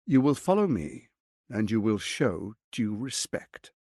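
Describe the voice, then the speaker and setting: An actor playing a scheming, arrogant middle-aged tyrant lord in a video game, with a pompous, silky, menacing delivery. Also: male voice, on the low side, very animated, unhurried, darkish tone, husky, dry recording, clean studio sound, exaggerated voice. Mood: imperious, contemptuous. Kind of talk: theatrical video game voice acting.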